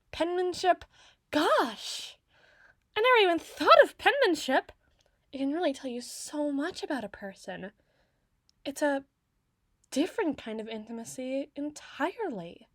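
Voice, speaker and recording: Woman acting a high-voiced haughty, flustered bookish girl. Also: a bit bright, no echo, clean studio sound. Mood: flustered musing. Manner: formal wordy diction, hesitant, flustered. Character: flustered tsundere teenage girl, bookish, haughty, socially awkward. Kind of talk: ASMR roleplay monologue.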